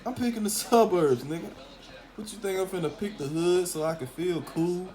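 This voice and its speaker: A man's voice: high voice, normal speed, a little mellow, faint music behind. A man from Memphis with a Southern accent, giving a casual, opinionated monologue in blunt slang with plenty of swearing. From Memphis, southern United States. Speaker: talk host.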